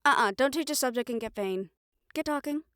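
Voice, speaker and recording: A woman's voice: high-pitched, fairly quick, fairly bright tone, no echo, studio quality. A woman playing a caring, teasing siren-banshee in an intimate audio roleplay, speaking with playful affection. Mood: concerned, tender. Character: teasing, caring female siren-banshee, fond of her partner.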